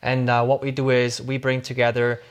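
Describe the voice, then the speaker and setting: A middle-aged Swiss man talks as an interview guest, his voice at an ordinary middle pitch. He rambles through his answers, full of fillers, repeated words and quick self-corrections. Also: completely flat delivery. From Switzerland. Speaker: guest, startup CEO.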